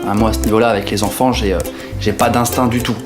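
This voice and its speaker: A man's voice: on the low side, little pitch movement, fast talker, echoey room, music in the background. A man from northern France, a comedian talking casually to camera in a self-mocking way and swearing freely. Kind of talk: comic sketch narration to camera.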